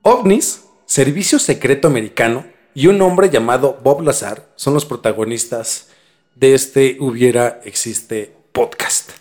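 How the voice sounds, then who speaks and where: A man's voice: medium pitch, animated, a little slow, a little mellow. A man hosting a mystery podcast in a conversational presenting style. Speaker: podcast host.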